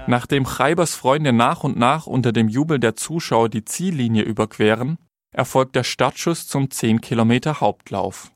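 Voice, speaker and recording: A man's voice: mid-range voice, normal speed, no echo, soft background music. A man reading scripted narration as the reporter of a radio feature.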